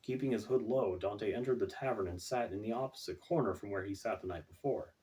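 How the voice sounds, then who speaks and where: A man's voice: on the low side, fairly quick, mellow. A man reading his own fantasy novel as scripted audiobook narration, recorded away from the microphone.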